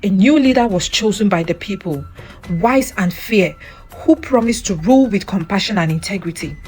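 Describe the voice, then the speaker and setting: A woman narrating a scripted folktale in an expressive, theatrical way. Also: mid-range voice, moderate pace. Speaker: narrator, storyteller. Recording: music under the voice. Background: background music.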